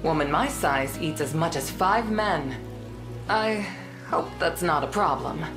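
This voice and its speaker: A big, hearty warrior woman in a game. Her very low voice is easygoing and slow, swinging widely in tune. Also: expressive, music in the background. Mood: amused. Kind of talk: video game cutscene voice acting.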